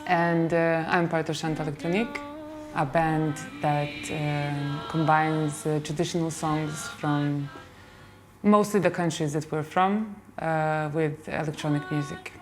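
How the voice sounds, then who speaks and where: A woman talks unhurriedly in a documentary interview, her voice sitting a little low. She chooses her words thoughtfully and pauses on small fillers. Faint music plays underneath. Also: fairly even intonation. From Bosnia. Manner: slow, measured and thoughtful, with fillers. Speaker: singer in a band.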